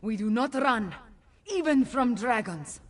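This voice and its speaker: Regal cat-folk queen, slow and solemn. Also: a little high, expressive, long reverb tail. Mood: defiant, rallying. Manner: solemn, deliberate, regal declarations. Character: proud young cat-folk heir queen rallying her people. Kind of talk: fantasy video game acting.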